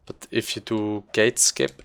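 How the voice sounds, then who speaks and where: A man's voice: on the low side, measured pace, fairly bright tone, clear, resonant tone. A man giving casual, rambling live commentary as he speedruns a video game, thinking aloud as he plays. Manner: rambling, informal, thinking aloud while playing.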